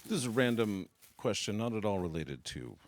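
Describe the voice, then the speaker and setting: A man co-hosting a live stream in a slightly low voice that moves around a lot in pitch. He improvises rambling, mock-earnest jokes. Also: very animated, brisk, a little hiss or hum. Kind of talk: casual improvised banter.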